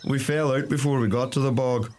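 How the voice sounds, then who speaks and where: Radio play: young Irish farmer from Donegal male actor, mid-pitched, wry, plain-spoken, birdsong and clear background noise. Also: a little mellow. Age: young adult. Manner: dry, colloquial, understated.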